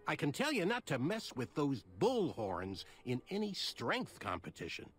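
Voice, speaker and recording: An actor playing a sly, scheming little gossip in a fantasy video game, conspiratorial and theatrical. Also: male voice, on the high side, wildly swooping pitch, unhurried. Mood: conspiratorial, scornful. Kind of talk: animated video game acting.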